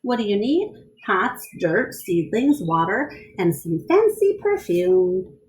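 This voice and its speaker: A woman reading a picture book aloud to children in an expressive style, pausing to question and explain things to her young listeners. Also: medium pitch. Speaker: read-aloud storyteller.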